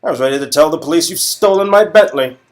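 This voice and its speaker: A man's voice: a little high, expressive, fast, voice pushed up, lightly acted. A man playing an arrogant, impatient talent agency boss in a comic dialogue reading, brusque and sneering. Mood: scornful, impatient.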